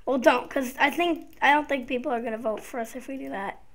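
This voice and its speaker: A young, high-pitched voice hosts a gaming video, sounding like a child or early teen. The commentary is casual and unscripted, with a slight rasp now and then. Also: a little fast, a bit bright. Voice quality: slightly raspy at times. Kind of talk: casual Minecraft gameplay commentary. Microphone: gaming headset.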